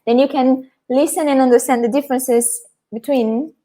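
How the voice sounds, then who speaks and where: A woman from Brazil, speaking English with a Brazilian Portuguese accent, hosts a casual live lesson. Her voice sits fairly high, and she turns to the viewers often, stopping to restart her sentences. The sound comes through a video call. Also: fairly even intonation, normal speed, warm tone. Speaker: host and Portuguese teacher. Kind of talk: casual live class chat.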